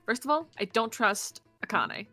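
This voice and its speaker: A young woman co-hosting a game stream with casual, animated, rambling commentary peppered with "like". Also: fairly high pitch, moderate pace. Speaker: streamer co-hosting a visual novel playthrough. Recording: background music under the voice. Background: background music.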